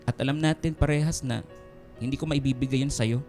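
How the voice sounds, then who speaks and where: An actor playing an insecure, devoted young boyfriend in a radio drama, speaking earnestly and hesitantly. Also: male voice, mid-range voice, brisk, a little mellow, natural voice. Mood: insecure, downcast. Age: young adult. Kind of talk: Filipino radio drama dialogue. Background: background music.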